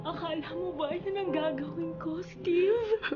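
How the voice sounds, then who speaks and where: Heartbroken, high-voiced Filipino wife. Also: female voice, a little slow, lo-fi sound. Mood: hurt. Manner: earnest, emotional, pleading delivery. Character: devoted, long-suffering wife, tender and heartbroken. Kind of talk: Filipino radio melodrama acting. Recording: music under the dialogue.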